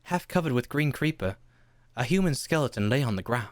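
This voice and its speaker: A man's voice: medium pitch, expressive, fairly quick, background noise, lightly acted. A man playing an earnest young adventurer who narrates his tale in a radio play. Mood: measured, grave. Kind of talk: scripted storytelling in a radio play.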